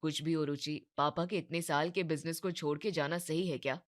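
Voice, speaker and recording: A worried young shopkeeper man played by a woman speaks in a lowish voice. He sounds hesitant and resigned, torn over his choices, in the dialogue style of an animated moral tale. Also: fairly quick, close to an everyday voice. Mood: doubtful.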